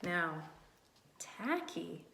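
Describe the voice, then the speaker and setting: A woman doing a read-aloud storytime for young children in simple, encouraging language, animated and often asking questions. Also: fairly low pitch, creaky, a little hiss or hum. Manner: animated, asks children questions and pauses for answers. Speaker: early childhood teacher reading aloud to children. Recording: roomy, echoey room sound.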